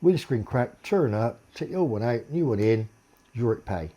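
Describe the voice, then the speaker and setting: A deep-voiced man, in a blunt, sweary, aggrieved monologue complaining to camera. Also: animated, normal speed, warm tone.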